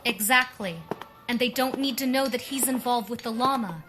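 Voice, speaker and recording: An actress playing a wry, determined masked heroine and quick-witted strategist in a pulp radio drama. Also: female voice, fairly high pitch, over music, natural voice. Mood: wry, assured.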